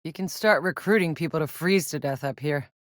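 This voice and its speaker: A woman's voice: low voice, fairly quick, no room sound, studio quality, natural voice. A woman playing a tough, sardonic young soldier in a sci-fi video game, with a wry, gritty, profane manner. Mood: wry, weary. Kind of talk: video game character voice lines.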